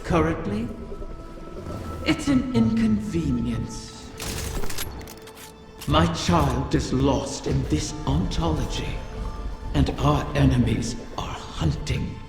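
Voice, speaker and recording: Gravelly, echoing ancient dragon mother. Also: low-pitched, animated, heavily acted. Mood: grave, guarded. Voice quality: gravelly, creaky. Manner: slow, grandiose, taunting. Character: ancient female wish-granting dragon mother, menacing, bitter, proud. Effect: cavernous reverberating creature effect. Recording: long echoing reverb, music behind.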